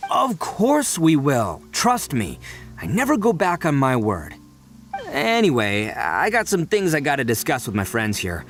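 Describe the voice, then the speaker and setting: An actor playing a brash, big-hearted young gang leader in a video game, boisterous and colloquial with boyish bravado. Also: male voice, on the high side, huge pitch swings, measured pace, fairly bright tone, exaggerated voice. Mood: reassuring, breezy. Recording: faint game music behind.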